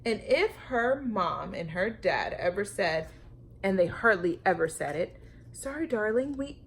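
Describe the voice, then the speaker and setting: Slow-paced woman reading aloud to children. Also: on the low side, vocal fry, slightly rough. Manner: animated, emphatic, with dramatic pauses and questions to young listeners. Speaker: storytime teacher reading to children. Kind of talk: expressive children's read-aloud.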